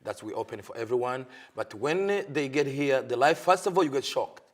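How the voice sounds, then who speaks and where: A man from Africa, a pastor answering interview questions earnestly in halting, learned English. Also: mid-range voice, lively intonation. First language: not English. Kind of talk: TV interview guest.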